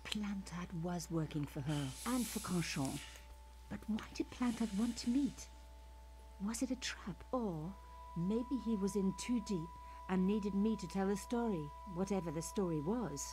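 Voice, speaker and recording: An actress playing a young female journalist in an adventure game, narrating her investigation in a calm, wry, measured way. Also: medium pitch, faint music behind, natural voice, poor audio.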